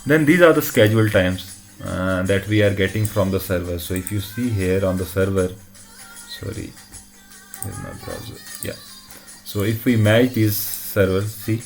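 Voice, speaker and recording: Very low-pitched man giving a tech walkthrough. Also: expressive, soft background music. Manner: halting, repeatedly checks in with short tag questions. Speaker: tutorial presenter. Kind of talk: informal technical demo walkthrough.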